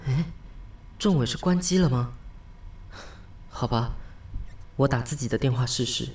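A woman's voice: very deep voice, measured pace, background noise. A woman with a Taiwanese Mandarin accent playing a flustered, self-mocking young woman in an audio drama, hesitant and polite aloud, blunt when muttering to herself. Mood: frustrated. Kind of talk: audio drama acting with spoken inner monologue.

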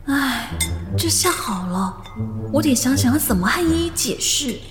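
Casting: soft-hearted young woman, evasive and pleading, very high voice, lively melody, modern radio romance. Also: a little slow, music in the background. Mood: hesitant, sulky. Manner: hesitant, coaxing.

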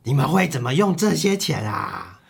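A man's voice: medium pitch, sing-song, moderate pace, gravelly. Man playing a patient rich Babylonian mentor. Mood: questioning, instructive. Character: Arkad, richest man of ancient Babylon, patient teacher. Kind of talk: radio drama dialogue adapted from a comic.

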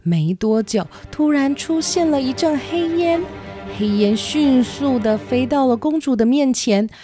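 A woman's voice: high-pitched, lively intonation, unhurried, mellow, faint music behind. A woman narrating a scripted children's fairy tale as the storyteller of an audio show. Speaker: storyteller, podcast host. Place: dry home recording over music.

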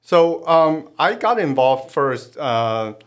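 A man's voice: a little high, sing-song, slow, clear, resonant tone. A man, a guest admissions consultant on a podcast, speaking hesitantly with frequent ums and restarts. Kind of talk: podcast interview.

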